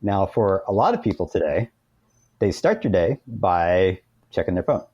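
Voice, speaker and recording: A man with an American accent and a low, mellow voice talks as a guest in an interview. He explains practical ideas conversationally, thinking as he goes and often restarting his phrases. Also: sing-song, normal speed, husky, muffled recording.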